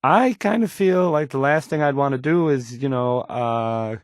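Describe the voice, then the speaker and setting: An actor playing an insecure young radio DJ in a game, rambling through song introductions, hesitant and trailing off. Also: male voice, mid-range voice, unhurried, no echo, clean studio sound, close to an everyday voice. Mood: awkward, unsure. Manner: hesitant, trailing off, self-doubting.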